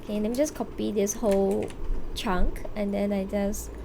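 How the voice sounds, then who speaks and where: A woman's voice: medium pitch, steady tone, normal speed, gravelly, noisy background. A woman livestreamer chatting casually and playfully with viewers, using gamer slang and the odd swear word, often thinking aloud. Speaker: live game streamer. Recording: music behind the voice. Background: video game soundtrack.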